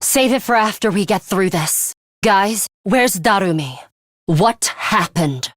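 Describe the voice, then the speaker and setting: Casting: icy, bloodthirsty young woman warrior for a game, mid-pitched, composed and commanding, close dry sound. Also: expressive, takes it slowly, dry recording. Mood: stern. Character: cold, ruthless young female fighter with a bloodthirsty streak. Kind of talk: English video game voice acting.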